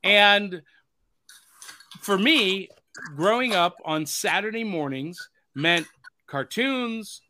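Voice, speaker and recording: A man's voice: high-pitched, lively intonation, takes it slowly, long pauses, bright, forceful tone, a little hiss or hum. A man hosting a pop culture podcast, upbeat and jokey in casual chat. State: hoarse from overuse. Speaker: podcast host.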